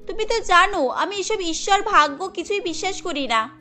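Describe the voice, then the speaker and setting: A woman plays a spoiled rich daughter in a cartoon story. The voice is very high and sharp, bossy and full of scorn for those beneath her. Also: expressive, moderate pace, faint music behind, full character voice. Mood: dismissive. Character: haughty, rich, money-proud young daughter in a cartoon.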